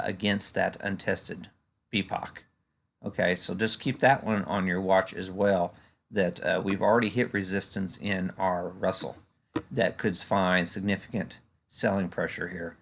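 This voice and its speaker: Male analyst, low gravelly voice, conversational and halting, talking through charts off the cuff. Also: a bit bright. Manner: conversational, hesitant, frequent "you know". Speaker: stock market analyst and video host. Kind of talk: unscripted market chart commentary.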